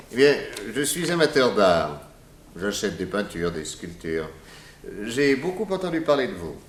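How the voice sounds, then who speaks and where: An actor playing a shrewd, composed private detective in a 1960s French radio serial, measured and probing. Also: male voice, lowish voice, sing-song. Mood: suave, feigned interest. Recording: noisy vintage 1960s radio recording.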